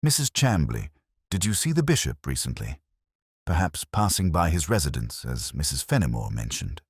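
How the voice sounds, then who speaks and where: Guy voicing a calm polite detective, super deep husky voice, dry close sound. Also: moderate pace, no echo, full character voice. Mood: courteous. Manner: mild and courteous. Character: mild, polite, patient male police inspector.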